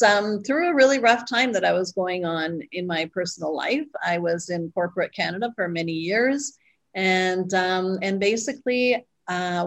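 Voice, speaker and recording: A middle-aged woman guest, born in Costa Rica, telling her story in an interview with many pauses and fillers. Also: mid-range voice. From Costa Rica, raised abroad. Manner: reflective, pausing with fillers. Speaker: guest, retreat owner.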